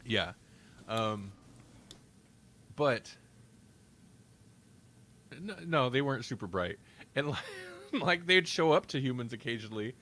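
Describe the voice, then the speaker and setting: A man co-hosting a podcast, chatting casually and retelling things in an animated way. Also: mid-range voice, takes it slowly, long pauses. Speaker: podcast co-host. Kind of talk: casual chat review.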